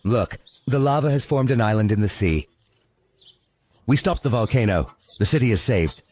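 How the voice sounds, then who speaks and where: A man narrating a scripted story for young children, expressive and full of questions to the listener. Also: mid-range voice, moderate pace, a little hiss or hum. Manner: expressive, asks the viewer questions. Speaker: narrator of a children's toy video. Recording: music behind the voice. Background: music.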